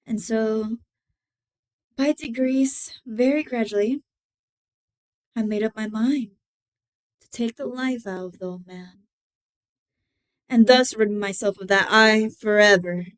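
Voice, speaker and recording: A mad, obsessive murderer acted out by a woman confesses in a gothic horror story. The voice is smooth and fairly high, with very slow, deliberate phrasing that shifts from sly hush to theatrical emphasis. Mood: cold resolve. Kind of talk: dramatic horror story reading.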